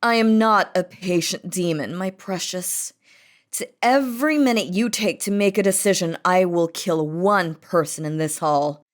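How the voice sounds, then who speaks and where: A woman's voice: on the low side, a little slow, close to an everyday voice. A woman voicing a possessive, commanding demon queen in a romantic audio roleplay, dramatic and by turns threatening and doting. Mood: menacing.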